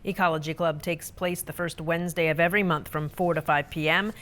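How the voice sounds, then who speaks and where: Lower-pitched woman reading local news. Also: steady tone, fairly quick, a bit bright. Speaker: news reporter. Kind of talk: scripted local newsreel narration.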